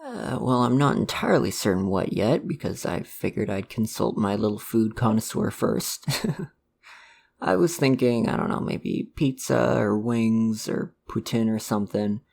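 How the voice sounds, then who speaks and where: Someone acting as a nervous loving dad for an asmr roleplay, jokey, kinda stammery, lots of vocal fry. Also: mid-range voice, lively intonation, a little mellow, slight background noise. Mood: casual, teasing. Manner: halting, stammering, conversational. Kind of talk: ASMR audio roleplay, one-sided dialogue.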